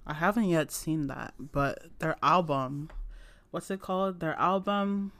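Chatty young man, bright-ish voice. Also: fairly high pitch, a little slow, dry recording. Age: young adult. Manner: chatty and enthusiastic, lots of "like" fillers. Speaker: podcast co-host. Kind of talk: casual chat. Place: at home.

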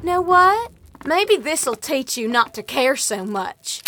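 A woman's voice: high voice, very animated, slow pace, bright, forceful tone, a little hiss or hum, exaggerated voice. A woman playing a cruel, gloating scavenger in a post-apocalyptic audio drama, with a coarse, folksy, taunting manner. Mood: taunting, menacing.

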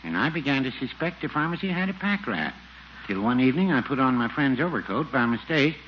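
An actor playing a mild, gently contrary small-town druggist with a dry, deadpan manner in a 1940s radio comedy. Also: male voice, medium pitch, fairly quick, lightly acted. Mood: dryly confiding. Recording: dull, noisy 1940s radio recording.

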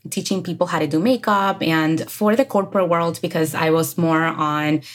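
Energetic woman with a Dominican accent. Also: low voice, moderate pace. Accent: Dominican Spanish. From Dominican Republic. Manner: energetic, laughs often. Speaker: guest, career coach. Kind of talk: casual interview chat.

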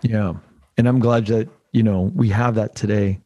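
A man's voice: lowish voice, steady tone, normal speed, warm tone, dry recording. A man hosting an interview, asking long, rambling questions in a casual tone. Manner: rambling, loosely strung questions. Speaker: podcast host.

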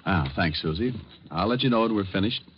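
An American man playing a suave, wisecracking detective in his thirties in a mid-century radio drama, dry and unhurried. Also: fairly low pitch, lively intonation, lightly acted. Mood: wry, flirtatious. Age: 30s. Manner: wry, unhurried, quick-witted. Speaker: lead actor and narrator. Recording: muffled, hissy old radio recording.

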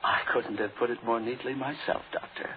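An actor playing a cool, double-crossing hired killer in a 1940s radio drama, speaking with smug, understated courtesy. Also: male voice, medium pitch, fairly even intonation, fairly quick, close to an everyday voice. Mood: coolly defiant. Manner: understated, formal, smugly courteous. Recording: tinny, noisy old 1940s radio recording.

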